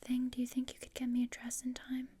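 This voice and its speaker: A woman voicing a gloomy, sardonic teenage outcast girl in a whispered ASMR roleplay, speaking in a deadpan, haughty, breathy whisper. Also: sits high, quick pace, slight background noise, close to an everyday voice. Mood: scheming.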